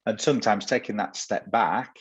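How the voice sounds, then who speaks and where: A deep-voiced man hosts a relaxed business interview, his tone low and a little husky. He talks earnestly and circles back on his words as he builds each point. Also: moderate pace. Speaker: host. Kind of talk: conversational interview.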